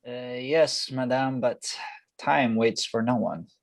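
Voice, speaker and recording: Guy doing shady wary smuggler man, cryptic, medium pitch, stage play. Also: takes it slowly, vocal fry.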